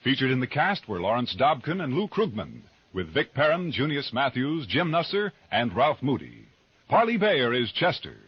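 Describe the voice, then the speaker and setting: A man's voice: medium pitch, big pitch swings, moderate pace, slightly rough, dry recording. An American man reading scripted announcements in a formal, emphatic 1950s radio style. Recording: muffled old radio broadcast, faint music.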